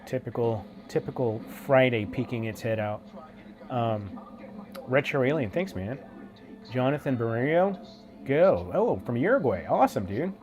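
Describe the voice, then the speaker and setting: A stuffed-up man with a cold, a little sniffly, chatting loosely on his live stream. He greets viewers one by one, tossing in dude and man, in a voice of ordinary middle pitch. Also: expressive, moderate pace. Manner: laid-back, slangy, says dude and man a lot.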